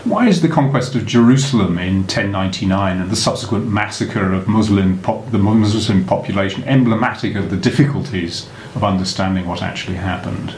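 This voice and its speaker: A man's voice: lowish voice, a little fast, hardly any pauses, a little mellow. A man interviewing a historian, asking formal, articulate questions. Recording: echoey room, faint noise.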